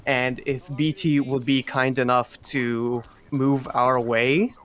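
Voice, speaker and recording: A man's voice: mid-range voice, level tone, slow, fairly bright tone. A man giving explanatory live commentary on a speedrun. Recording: faint background noise, live event stream.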